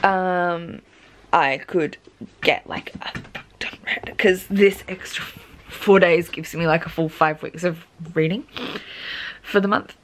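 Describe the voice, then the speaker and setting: A woman in her twenties, a book vlogger, chatting casually at home with plenty of ums and asides, her voice gone croaky. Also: on the low side, steady tone, takes it slowly, pauses often, slight background noise. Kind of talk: casual chat.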